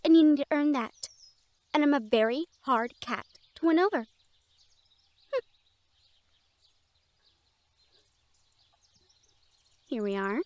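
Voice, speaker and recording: A woman's voice: high voice, dry recording. A woman voicing a snobby, catty teenage catgirl cheer captain in an audio roleplay, talking in a haughty, teasing and bossy way.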